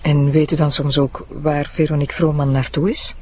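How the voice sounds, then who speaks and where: A woman's voice: deep voice, flat delivery, normal speed, dark tone, close to an everyday voice. A woman with a Flemish accent playing an eager, polite deputy prosecutor in a naturalistic audio drama. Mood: polite, inquiring. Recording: faint background noise.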